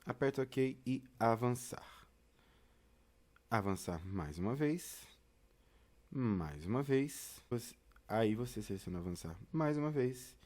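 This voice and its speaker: Brazilian man with a mellow voice, teaching. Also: medium pitch, lively intonation, slow, pauses often. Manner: conversational, addresses listeners directly with frequent check-ins. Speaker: instructor presenting a tutorial. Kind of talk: informal step-by-step tutorial.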